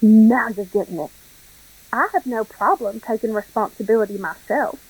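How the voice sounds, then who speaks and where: Woman acting Southern crime boss, high voice, falsely courteous, theatrical drawl, scheming, radio drama. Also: moderate pace, husky, poor audio. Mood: pleased scheming.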